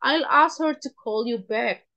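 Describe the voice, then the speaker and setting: A woman's voice: high voice, slow pace, gravelly, bright, forceful tone, lo-fi sound. A woman with an Indonesian accent teaching an online English class as lecturer, instructively repeating and translating example phrases.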